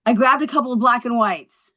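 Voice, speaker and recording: An actress playing a brash, quick-witted young wife in a scripted comedy table read. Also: female voice, fairly high pitch, pushed and bright. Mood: triumphant, cheeky.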